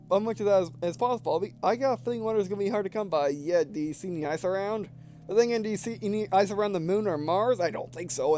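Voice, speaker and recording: A man reading game text aloud and commenting casually as he plays, halting and stumbling over words, with some background noise. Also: sits high, darkish tone. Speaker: gameplay video host.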